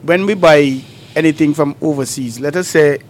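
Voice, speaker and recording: A man's voice: on the high side, moderate pace, a little hiss or hum. A man co-hosting a call-in radio show, explaining things step by step with examples. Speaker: radio talk show co-host.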